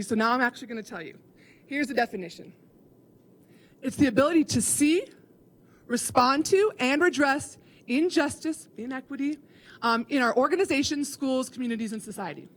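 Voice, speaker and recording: A woman from Chicago giving an earnest, instructive keynote talk to educators. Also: fairly high pitch. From Chicago, USA. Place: conference hall.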